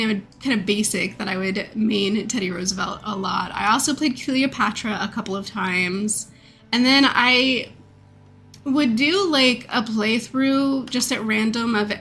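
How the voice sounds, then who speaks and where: A woman in her thirties streaming a game, talking casually with a stuffed-up nasal voice and a slight croak, rambling with fillers and laughs. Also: on the high side, bright, forceful tone. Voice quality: a bit croaky. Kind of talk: casual chat with viewers.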